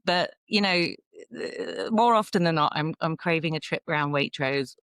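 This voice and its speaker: A woman's voice: low voice, moderate pace, a bit bright, smooth, no echo, clean studio sound. A woman with a southern English accent, talking casually and chattily as a podcast guest, with some self-deprecation. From south of England, London suburbs. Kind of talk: casual conversational interview.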